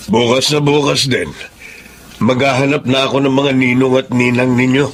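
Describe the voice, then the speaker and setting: A man with a Filipino accent playing a patient older father, gentle and measured, in a radio drama. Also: medium pitch, level tone, close to an everyday voice. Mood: decisive. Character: patient, apologetic retired seaman father. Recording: music under the voice.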